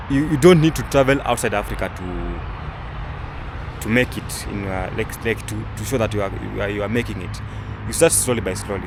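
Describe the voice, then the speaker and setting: A young man, a travel vlogger, giving casual commentary outdoors, rambling with many fillers and restarts. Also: lowish voice. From Kenya. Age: young adult. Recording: outdoor recording with traffic noise.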